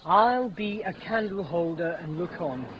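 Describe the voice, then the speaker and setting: An actor playing a lovestruck, melancholy young gentleman, speaking verse earnestly in a 1950s radio Shakespeare style. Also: sits high, expressive, unhurried. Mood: gloomy, restless. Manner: earnest, declamatory verse delivery. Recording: muffled, noisy old radio broadcast.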